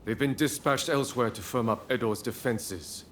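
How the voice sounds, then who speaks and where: An actor playing a composed military commander in a video game cutscene, giving orders in a serious, direct way. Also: male voice, medium pitch, moderate pace, slight background noise, lightly acted. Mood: grave.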